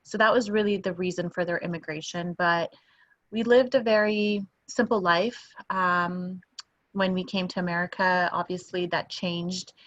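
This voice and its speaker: A woman speaking low and fairly flat, with a thoughtful, earnest manner full of small pauses. She was born in Iran and talks from her home. The sound is dull and lo-fi, like a video call. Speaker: guest, English professor. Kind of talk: interview over video call.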